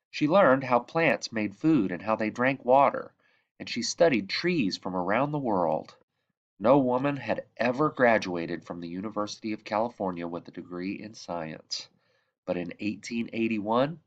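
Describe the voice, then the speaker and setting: A man reading a children's picture book aloud in measured phrases that drop softly at the ends of sentences. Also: fairly low pitch, muffled recording. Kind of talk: read-aloud storybook narration.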